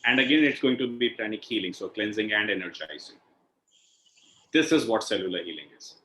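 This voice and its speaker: A man's voice: lowish voice, animated, a little fast, bright, forceful tone. A man with an Indian accent explains as an instructor on an online call, in a didactic way, often checking in with a questioning "right?". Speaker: healing instructor and session host. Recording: echoey room over video call.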